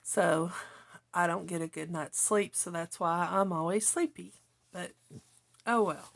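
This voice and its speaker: Casting: middle-aged woman, craft channel host, slightly hoarse with gravelly fry, unhurried and casual delivery. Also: on the low side. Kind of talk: casual chat to viewers while journaling, partly reading own notes.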